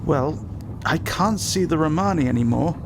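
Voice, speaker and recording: An actor playing an alert, well-bred young lord in an audio drama, proper and articulate. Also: male voice, a little high, animated, moderate pace. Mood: watchful. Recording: clear vehicle noise behind.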